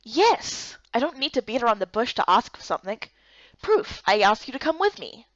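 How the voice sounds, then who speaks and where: Woman acting an earnest, high-voiced young game heroine. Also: moderate pace, dry recording, highs cut off. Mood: defensive. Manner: expressive, emphatic delivery. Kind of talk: visual novel game character dub.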